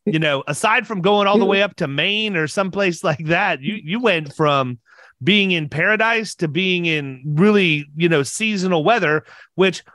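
A man's voice: sits high, normal speed, sharp tone, no echo. A middle-aged man hosting a podcast and chatting casually as he interviews a guest. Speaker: podcast host.

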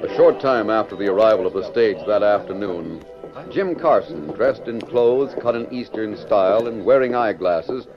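A man's voice: fairly low pitch, animated, moderate pace. A man narrating a western drama in scripted old-time radio style. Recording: muffled vintage radio recording. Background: music.

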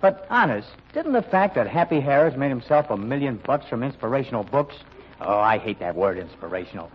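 A man's voice: medium pitch, big pitch swings, brisk, breathy edge, muffled recording. A man playing a brash, skeptical newspaper reporter in old-time radio style, with a slangy, needling, wisecracking manner.

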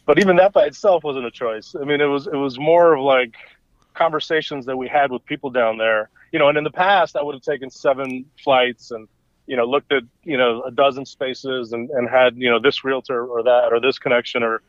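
A man's voice: medium pitch, steady tone, brisk, dry recording. A man from El Salvador, a native Spanish speaker, talking casually as a guest, rambling with many fillers and restarts. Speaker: gallery owner, guest. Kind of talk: casual conversation. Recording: dull lo-fi audio.

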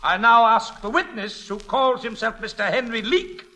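An actor in an old-time radio drama playing a pompous courtroom barrister, formal and declamatory. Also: male voice, very high-pitched, moderate pace, bright, forceful tone, exaggerated voice.

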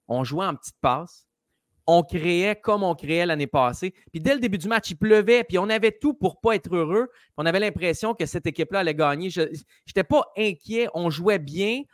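A man with a Quebec French accent, a podcast guest, talking through his view in a relaxed way. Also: fairly high pitch, dry recording, clean studio sound. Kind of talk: casual sports talk.

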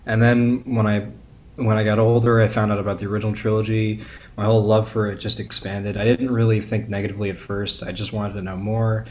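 A man's voice: fairly low pitch, flat delivery, brisk, darkish tone. A young man chatting casually as a podcast guest, candid and opinionated. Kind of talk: casual chat.

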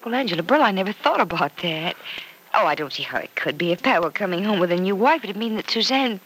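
An actress playing a warm, earnest young woman in an old radio soap opera, speaking with sighs, hesitations and small exclamations. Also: medium pitch, expressive, fast, husky. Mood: hesitant, concerned. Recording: noisy vintage radio drama recording.

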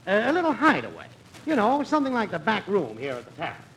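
Old radio comedy: sly crackpot schemer, confiding pitchman, wildly swooping delivery, slight background noise. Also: huge pitch swings, fast, a bit bright, heavily acted. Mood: ingratiating. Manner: sly, hesitating, confiding salesmanship. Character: Dave, breezy smooth-talking schemer with crackpot money plans. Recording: faintly noisy old radio recording.

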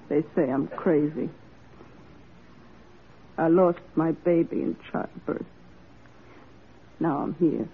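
A performer playing a melancholy, broken woman prisoner in a classic radio drama, speaking plaintively and haltingly. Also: medium pitch, close to an everyday voice. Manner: plaintive, halting, archaic rustic phrasing. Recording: tinny, noisy old radio drama recording.

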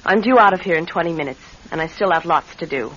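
Imperious rich wife, bright hard voice. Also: female voice, fairly low pitch, lively intonation, quick pace. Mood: cold, weary. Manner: crisp, commanding, formal. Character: imperious wealthy businesswoman wife, cold and controlling. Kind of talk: old-time radio drama acting. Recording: dull, hissy vintage radio recording.

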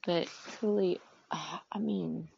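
A woman hosting a podcast, talking in a rambling, heartfelt way and often dropping to a soft whisper. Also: fairly low pitch, steady tone, slow pace, gravelly, muffled recording, a little hiss or hum. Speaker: podcast host. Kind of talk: casual reflective monologue.

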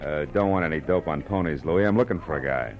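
A man's voice: very deep voice, fast, lo-fi sound. An American man playing a tough, cynical private detective with clipped, wry delivery in a 1940s-style radio crime drama. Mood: wry, probing. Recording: old radio recording with music.

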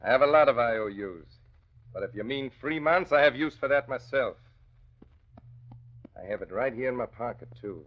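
An actor playing a callous, scheming nightclub gangster boss in a 1940s radio crime drama, talking in tough underworld slang. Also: male voice, medium pitch, expressive, moderate pace, long pauses, lightly acted. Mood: smug menace. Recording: dull old radio recording.

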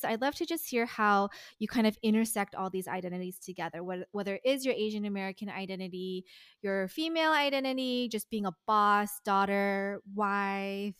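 An upbeat woman interviewer chats casually and sprinkles her questions with "like" and quick laughs. Her voice is smooth and clear. It is recorded close and dry, with no room sound. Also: medium pitch, no echo. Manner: upbeat, lots of "like", bright laughs. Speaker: podcast interviewer.

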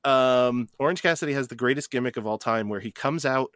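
A podcast host from New Jersey asking playful rapid-fire questions in a casual chat. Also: medium pitch, a bit bright, no echo, studio quality.

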